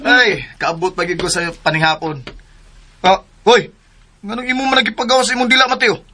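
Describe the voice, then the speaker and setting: Brash grown son, bright-voiced. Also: male voice, high-pitched, fairly even intonation, fairly quick. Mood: puzzled. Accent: southern Philippine. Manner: confrontational, insistent questioning. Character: brash, jealous grown son, quick to take offence. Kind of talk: radio drama acting.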